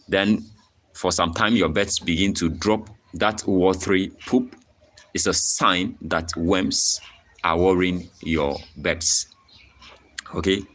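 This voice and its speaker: A man's voice: sits low, fairly bright tone, a little hiss or hum. A young Ghanaian man hosting a live-stream farming tutorial, explaining things step by step and checking in with a frequent "okay?". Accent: Ghanaian English. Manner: repetitive, frequent "okay?" check-ins, reads chat aloud. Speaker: poultry farmer and channel host.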